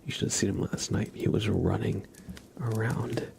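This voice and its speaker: A man hosting an ASMR livestream, chatting casually in a breathy whisper. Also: on the low side, steady tone, moderate pace, darkish tone, slight background noise. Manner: casual chat, greeting viewers by name. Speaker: livestream host.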